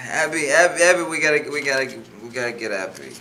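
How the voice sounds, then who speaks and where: A man's voice: medium pitch, animated, a little fast, sharp tone, a little hiss or hum, poor audio. A man playing a drunk, giddy, clingy young student at a script reading, rambling and repeating himself. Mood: giddy, muddled.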